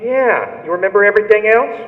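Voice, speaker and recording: A man's voice: high-pitched, lively intonation, a little fast. A man playing a coolly formal murder conspirator in an old-time radio thriller, heard as a telephone voice. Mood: coolly sinister. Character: calm male murder conspirator, coolly giving instructions. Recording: thin phone-like sound, echoey hall reverb.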